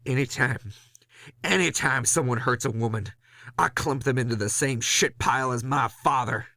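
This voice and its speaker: A man playing a weary, hard-boiled ghost hunter in a noir horror audio drama, gravelly, brooding and confessional. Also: medium pitch, moderate pace, bright, forceful tone, no room sound, clean studio sound. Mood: grim, intense. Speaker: narrator and lead character.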